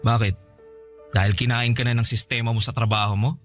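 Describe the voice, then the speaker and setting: Actor as resentful boyfriend, medium-pitched. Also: male voice, level tone, fairly quick, fairly bright tone, lightly acted. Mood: accusing, bitter. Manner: accusing, emotional. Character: resentful, hurt young live-in boyfriend, sarcastic and accusing. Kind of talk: Tagalog radio drama acting. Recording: music bed behind the voice.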